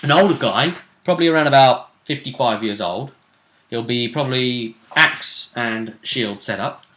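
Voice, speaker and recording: A man's voice: mid-range voice, normal speed, pushed and bright, echoey room, slight background noise. A man chatting casually as host about a tabletop role-play game, musing aloud and often prompting his co-host with questions.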